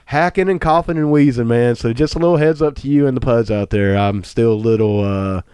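A man's voice: mid-range voice, fairly quick, darkish tone, clear, resonant tone, no echo. A man, co-hosting a casual podcast while congested, laughing often and swearing freely. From North Carolina. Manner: profane, laughing, calls his co-host brother. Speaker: podcast co-host. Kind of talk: casual chat.

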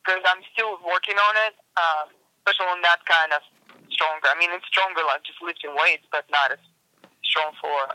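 A man with a Russian accent, raised in Siberia, speaks in a high, bright, tense voice. He chats in halting, searching phrases and breaks into giggles often. The sound is dry and close. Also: fairly even intonation, no echo, low-quality recording. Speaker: obstacle racer, guest. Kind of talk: casual interview chat.

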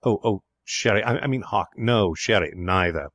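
Flustered young gentleman read by a man, gloomy, smitten, halting delivery, low register, storybook. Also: no echo, studio quality. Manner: stammering, anxious, earnest.

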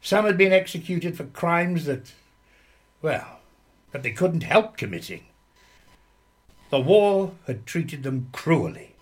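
A man's voice: on the high side, lively intonation, slow pace, pauses often. A man playing a sombre soldier who recalls suffering comrades, narrating dramatically in a radio play. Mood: sorrowful.

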